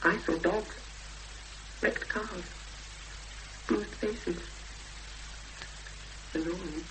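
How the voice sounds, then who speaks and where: A woman's voice: fairly low pitch, fairly even intonation, extremely slow. An actress playing a frightened, weary woman searching for her missing sister in a 1970s radio drama, speaking in short, intense, reflective phrases. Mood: troubled. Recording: noisy 1970s radio broadcast recording.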